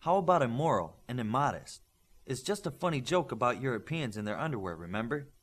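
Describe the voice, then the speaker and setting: A man voicing a stern, principled instructor who lectures young superhero trainees in measured, emphatic audiobook dialogue. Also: mid-range voice, big pitch swings. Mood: stern, lecturing. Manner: emphatic, didactic, measured lecturing with rhetorical questions.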